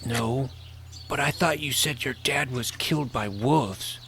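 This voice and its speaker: A man's voice: mid-range voice, measured pace, vocal fry, a bit bright, slight background noise. A man playing an earnest, awkward boy hero in an audio drama, casual and boyish as he tells his adventure. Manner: casual, boyish, hesitant phrasing. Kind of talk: first-person scripted narration and acted dialogue.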